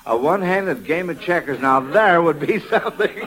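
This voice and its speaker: A man's voice: a little high, lively intonation, moderate pace, a bit bright. A man hosting a 1940s children's radio quiz in an encouraging, folksy manner. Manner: encouraging, folksy, repeats approving murmurs. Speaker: quizmaster of children's quiz show. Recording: vintage radio, noisy with audience.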